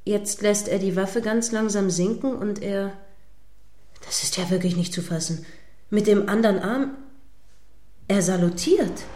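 A woman's voice: medium pitch, a little slow, long pauses, mellow, natural voice. A woman playing an indignant, moralizing radio reporter giving live commentary on a standoff in a radio play.

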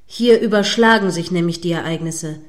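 A woman's voice: on the low side, moderate pace, close to an everyday voice. A woman playing an indignant, moralizing radio reporter giving live commentary on a standoff in a radio play. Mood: tense commentary.

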